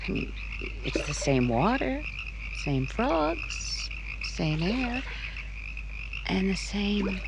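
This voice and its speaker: A woman playing a proud, teasing, guarded young woman in an old-time radio romance, with a coy, measured delivery. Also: mid-range voice, vocal fry, noisy background. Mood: wistful.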